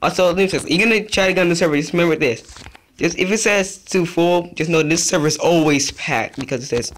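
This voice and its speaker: A young man giving casual, rambling commentary over his own gameplay, chatty and easily excited. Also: on the high side, fast. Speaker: gaming youtuber.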